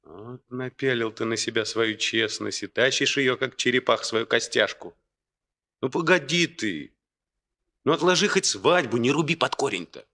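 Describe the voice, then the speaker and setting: A man's voice: mid-range voice, moderate pace, pushed and bright. A man acting in a 1960s radio play as a brash young worker, a cheeky, needling womanizer. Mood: urgent coaxing.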